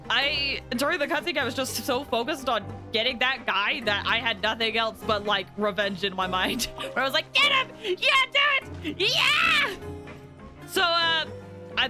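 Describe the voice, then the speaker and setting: A woman chatting casually to viewers as she hosts a game livestream, in an animated, chatty manner, sounding a little tired. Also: sits high. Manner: animated, chatty, with excited exclamations. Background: background music.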